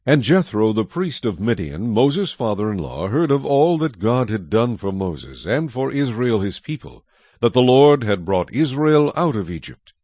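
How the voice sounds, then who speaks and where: A man narrating scripture from a script as a solemn, measured storyteller. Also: mid-range voice, big pitch swings, a little mellow, no room sound.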